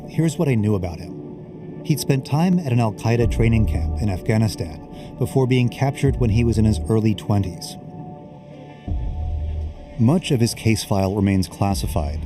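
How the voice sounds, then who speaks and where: A man working as a reporter, reading scripted narration for a documentary. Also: lowish voice. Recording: music under the voice. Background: background music.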